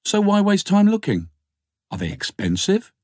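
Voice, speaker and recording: A man's voice: high-pitched, wildly swooping pitch, normal speed, darkish tone, no echo, voice pushed up. A man voicing a cool, guarded woman in her early thirties in an audiobook, terse and dry in manner. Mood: cool, brisk. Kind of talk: audiobook character dialogue.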